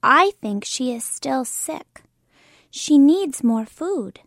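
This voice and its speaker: A woman's voice: sits high, lively intonation, takes it slowly, dry recording, studio quality, heavily acted. A woman reading a storybook aloud and voicing a bossy little girl playing doctor, firm and emphatic. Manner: firm, emphatic, a little louder than the narration.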